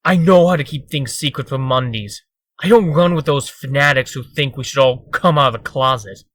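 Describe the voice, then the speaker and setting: Casting: male actor as glib rogue, mid-pitched with a sharp bright tone, defensive and cocky, comic drama. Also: normal speed, dry recording, close to an everyday voice. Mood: cocky, indignant. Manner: glib, self-justifying. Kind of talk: comic dub dramatic acting.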